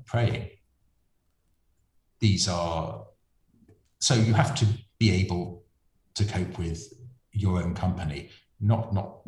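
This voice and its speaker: A man's voice: low-pitched, roomy sound. A man, a friar and preacher, speaking thoughtfully in an interview, hesitant, with many ums and restarts. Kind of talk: reflective interview conversation.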